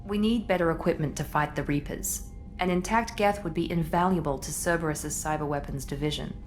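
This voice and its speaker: Woman playing a businesslike officer, poised, formal, persuasive, low voice, faint score. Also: brisk, faint music behind, natural voice. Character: cool, businesslike female officer of a secret organization. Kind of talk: video game cutscene acting. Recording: game audio with score.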